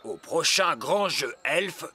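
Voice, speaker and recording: A man's voice: a little high, sing-song, takes it slowly, sharp tone, slightly rough, soft background music, heavily acted. A man voicing a jovial old bearded elf who proclaims emphatically in a French-dubbed children's cartoon. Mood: jovial, announcing.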